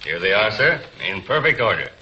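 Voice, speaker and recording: A man's voice: on the low side, sing-song, fairly quick, bright, forceful tone, full character voice. A man playing a formal, precise crooked syndicate lawyer in a 1940s film serial. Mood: polite, businesslike. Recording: dull, hissy 1940s film soundtrack.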